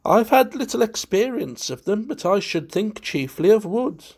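A man's voice: sits high, very animated, normal speed, a little mellow, breathy edge, pitched above the performer's own voice, heavily acted. A man voicing a flamboyant, affected society wit in a storybook, with theatrical, gleeful, lofty prattle. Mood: amused, teasing. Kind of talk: dramatised storybook reading.

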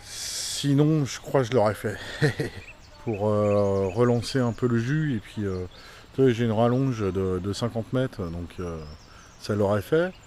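A man's voice: lowish voice, unhurried, a little mellow, slight background noise. A man in his forties vlogging casually about a family camper van trip, rambling with many fillers. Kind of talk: casual vlog chat. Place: inside a camper van while driving, then outdoors in a forest clearing. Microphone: action camera on the dashboard or held at arm's length.

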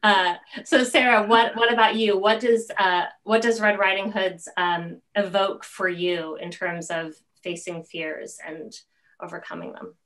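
A woman in middle age with an average-pitched voice hosts a video-call interview. Her questions are casual and come out haltingly as she pieces them together. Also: steady tone, a bit bright. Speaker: host, interviewer. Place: indoor room at home.

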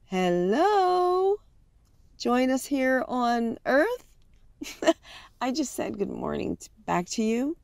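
A reassuring, lightly teasing woman in a radio play, voiced by an actress. Her voice is fairly high and lively, recorded close and dry. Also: slow pace, vocal fry, pauses often, no echo, heavily acted. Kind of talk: radio drama acting.

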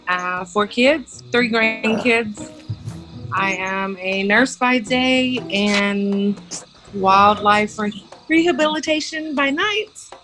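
A woman in her forties from Louisiana introducing herself as a contestant over a video call, in an unhurried, halting way. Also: fairly high pitch, pushed and bright, soft background music, rough recording. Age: 40s.

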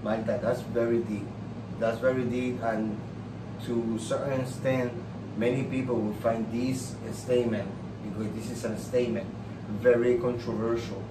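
A man's voice: mid-range voice, level tone, moderate pace. A middle-aged man with a Puerto Rican accent, speaking conversationally and emphatically, often repeating phrases to make his point. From Puerto Rico. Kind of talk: conversational, impassioned opinion. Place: indoor room hung with paintings. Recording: echoey room, distant mic, noisy background.

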